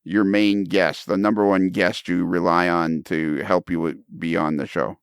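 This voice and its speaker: A man hosting a casual chat in a halting way, often repeating the start of his phrases. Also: low-pitched, level tone, smooth, dry recording, studio quality. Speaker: podcast host.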